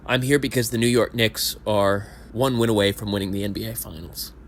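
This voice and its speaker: A man's voice: on the low side, fairly even intonation, a little fast, a little hiss or hum, natural voice. A man playing a hopeless, rambling sports fan in his thirties. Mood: earnest confession. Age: 30s. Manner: rambling, self-deprecating, rising into indignant rants. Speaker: support group visitor. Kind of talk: amateur audio play acting.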